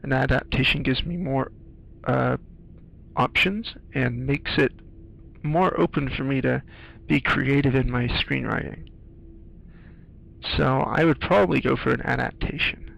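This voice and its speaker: A man giving casual playthrough commentary, explaining things in a halting, thoughtful way with game audio behind him. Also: mid-range voice, creaky, a bit bright, rough recording. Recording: faint noise, game sounds behind. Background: video game audio.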